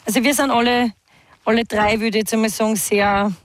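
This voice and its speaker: Woman, singer guest, relaxed rambling chat with laughs, a bit higher-pitched. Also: fairly quick. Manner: laughing, chatty, many fillers and restarts. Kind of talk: casual interview chat.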